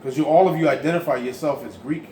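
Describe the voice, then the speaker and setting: A man's voice: a little high, fast talker. Insistent male discussion leader, raised voice, echoey noisy room. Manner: forceful, insistent. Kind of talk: emphatic teaching talk. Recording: echoey room with background noise.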